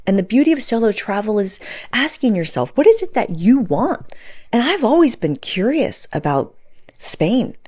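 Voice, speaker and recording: A woman's voice: fairly high pitch, sing-song, normal speed, darkish tone. A woman of forty hosting a podcast, talking informally and emphatically to her audience as she tells personal stories. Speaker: podcast host. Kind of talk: conversational solo monologue to audience, storytelling.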